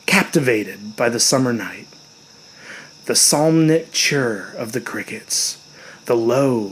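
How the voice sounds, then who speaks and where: Male storyteller, mid-pitched, for dramatic audiobook narration; measured, expressive delivery that softens into hushed phrase endings. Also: a little hiss or hum, rough recording.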